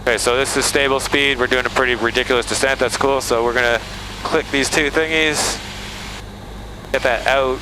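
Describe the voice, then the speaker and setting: A man's voice: mid-range voice, steady tone, moderate pace. A man flying a small plane in training, informally narrating each step aloud through a headset. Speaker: pilot being trained. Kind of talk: thinking aloud while flying. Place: small airplane cockpit in flight. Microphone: aviation headset. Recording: loud cockpit engine noise, aviation headset intercom.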